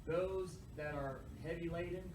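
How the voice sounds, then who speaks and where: A man's voice: a little high, fairly even intonation, unhurried, natural voice. Distant, echoey man playing a solemn disciple. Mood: proclaiming, reverent. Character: devout humble disciple of Jesus, burdened by coming betrayal. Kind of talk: church dramatization monologue. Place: live in a church, picked up from afar. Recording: distant echoey live church recording, noisy.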